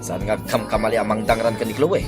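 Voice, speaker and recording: A man's voice: lowish voice, steady tone, quick pace, music in the background, close to an everyday voice. A man playing a concerned family man in an audio drama, with a Filipino accent. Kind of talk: Ilocano audio drama acting.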